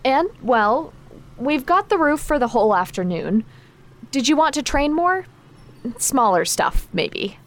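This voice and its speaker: An actress playing a caring but exacting magic trainer in a naturalistic audio drama, frank and instructive in manner. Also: female voice, sits high, very animated, measured pace. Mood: gentle. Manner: frank, instructive, gently concerned.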